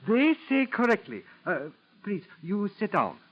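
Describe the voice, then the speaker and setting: An actor playing a suspicious, falsely humble Japanese chauffeur with halting, broken English and a Japanese accent, in 1940s radio style. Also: male voice, high-pitched, huge pitch swings, full character voice. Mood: slyly probing. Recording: dull 1940s radio recording.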